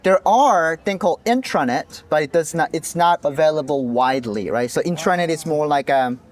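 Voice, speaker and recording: A man's voice: on the high side, lively intonation, moderate pace. Hesitant man in his thirties, from North Korea. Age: 30s. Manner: hesitant, many fillers and restarts. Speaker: interviewee, defector. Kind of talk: casual street interview. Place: outdoors.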